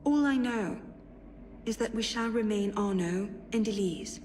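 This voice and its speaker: A spirited young noblewoman played by an actress reads her letters aloud for a video game. She is witty and tender, with resolute, measured phrasing at a medium pitch. Some background ambience sits under her voice. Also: darkish tone, slight background noise, lightly acted. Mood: hopeful, reflective.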